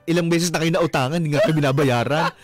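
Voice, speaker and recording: A man's voice: fairly high pitch, fairly even intonation, quick pace, dry recording, soft background music. A man with a Filipino accent hosting a radio advice show, chatting casually and teasingly with a caller. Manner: teasing, amused, laughs readily. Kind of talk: casual chat, advising a caller. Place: radio studio.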